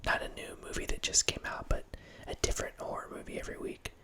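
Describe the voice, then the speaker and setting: A man in his thirties hosting a whispered ASMR ramble, speaking softly right into a close studio microphone in a small home room, with lots of self-corrections. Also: fast. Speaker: ASMR channel host. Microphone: close studio microphone, wearing headphones.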